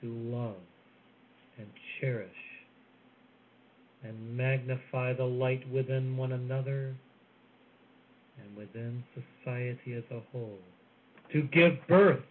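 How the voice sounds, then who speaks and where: A man delivering a channeled spiritual message in a soft, solemn, measured way with long pauses. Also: mid-range voice. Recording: phone-like audio with faint hiss.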